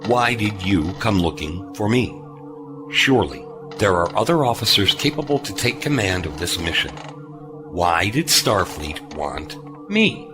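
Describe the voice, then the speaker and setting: Gruff veteran starship officer male actor, low and varied in pitch, dry, skeptical, sci-fi audio drama. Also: very animated, unhurried, over music. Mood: curious, wary.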